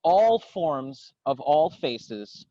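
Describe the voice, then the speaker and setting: A man gives a dramatic poetry recitation outdoors, in solemn, declamatory, measured phrases. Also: on the high side, very animated, mellow, no room sound, lo-fi sound. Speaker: poetry reader. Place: outdoors in a garden.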